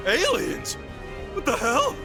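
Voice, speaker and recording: An actor playing a panicked, frightened soldier survivor in a video game, shrill, frantic and breathless. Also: male voice, huge pitch swings, takes it slowly, over music, exaggerated voice. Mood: panicked, relieved. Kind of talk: video game cutscene acting.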